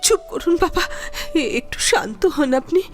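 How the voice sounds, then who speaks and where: An actress playing a timid, dutiful young widowed daughter-in-law in a Bengali audio drama, hesitant and deferential with a Bengali accent. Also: female voice, very high voice, expressive, moderate pace, music in the background. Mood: anxious, pleading. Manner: hesitant, stammering, pleading, deferential.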